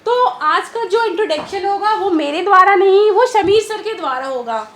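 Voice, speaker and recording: Very high-voiced woman welcoming viewers. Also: lively intonation, normal speed, fairly bright tone, roomy sound. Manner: welcoming, announcing. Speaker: channel presenter. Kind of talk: channel welcome introduction.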